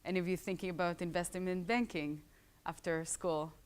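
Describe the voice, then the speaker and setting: A woman's voice: lowish voice, steady tone, normal speed, clear, resonant tone. A woman in her thirties with an Israeli accent, a startup founder giving a casual, joking talk to a live audience of students. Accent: Israeli, with some English schooling. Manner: chatty, joking asides to the audience.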